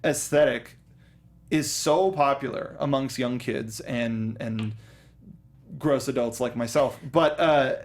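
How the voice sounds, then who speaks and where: A man's voice: medium pitch, takes it slowly. A young man co-hosting a podcast in casual chat, enthusiastic and often stumbling over restarts as he talks about something he loves. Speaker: podcast co-host.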